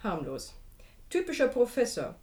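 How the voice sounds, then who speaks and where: High-voiced, brisk secretary, echoey. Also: female voice, wildly swooping pitch, a little mellow. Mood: businesslike. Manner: crisp, businesslike, short replies. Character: brisk, efficient female secretary to a director. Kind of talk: German radio play acting. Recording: echoey room, faint noise.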